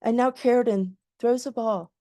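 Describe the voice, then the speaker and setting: A woman leading a guided meditation in a soothing, gentle voice with lulling phrases. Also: a little high, normal speed, no echo.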